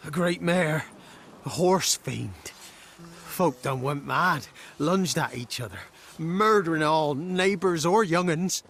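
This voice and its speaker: A cowed fantasy game villager, with a high voice and slow, halting delivery. He stammers nervously and sounds rustic and deferential. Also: lively intonation, vocal fry, fairly bright tone, slight background noise, heavily acted. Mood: shaken, fearful. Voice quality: heavy stammer. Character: frightened, deferential stammering peasant. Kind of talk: video game voice acting.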